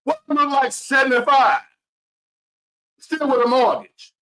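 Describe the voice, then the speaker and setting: A man in his fifties giving earnest, preachy motivational talk to his viewers, repeating key phrases and swinging between loud and hushed. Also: extremely high pitch, normal speed. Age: 50s. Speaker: motivational vlogger.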